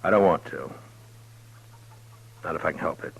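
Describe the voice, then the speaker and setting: An actor playing a stern, weary marshal in a 1950s radio western, speaking in a terse, matter-of-fact way. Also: male voice, extremely low pitch, moderate pace, gravelly, breathy edge, dry recording, faint music behind, exaggerated voice, low-quality recording. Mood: firm, terse. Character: stern, weary male frontier marshal narrating and giving orders. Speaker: radio drama lead and narrator.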